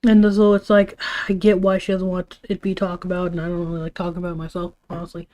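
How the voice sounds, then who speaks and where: A woman's voice: fairly low pitch, flat delivery, quick pace, dark tone. A tired woman talking casually on a livestream, giving her opinions in an informal, rambling way with some casual swearing. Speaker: livestream host.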